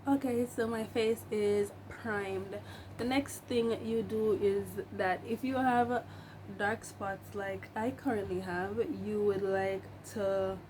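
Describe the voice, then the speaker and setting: A young woman, hoarse while getting over the flu, talks through a makeup tutorial in a chatty, emphatic way with joking asides. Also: mid-range voice, darkish tone, a little hiss or hum. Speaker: makeup vlogger, licensed cosmetologist.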